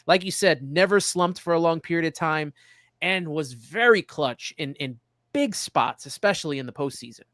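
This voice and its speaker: A man's voice: a little high, normal speed, a bit bright, dry recording, studio quality. A man hosting a sports show, chatting through baseball analysis in a conversational way.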